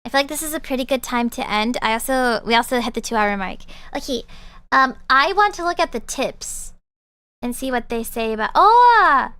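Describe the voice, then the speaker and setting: A bubbly young woman streamer wraps up her session in loose, rambling chatter, filling the gaps with ums and likes. Her voice sits high and smooth. The sound is dry and close to the mic. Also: expressive, normal speed, pushed and bright, dry recording. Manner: bubbly, rambling with many fillers. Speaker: VTuber streamer. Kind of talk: casual live-stream chat.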